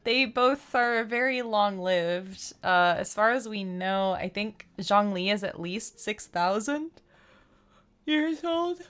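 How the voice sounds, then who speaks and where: A woman's voice: medium pitch, lively intonation, slow, fairly bright tone, smooth. A woman chatting casually on a live game stream, explaining things in a rambling, chatty way over game music.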